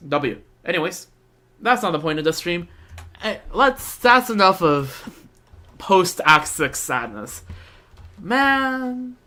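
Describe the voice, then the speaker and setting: A man doing casual live game commentary as a streamer, rambling and halting as he repeats words. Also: sits high, very animated, pushed and bright. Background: game music.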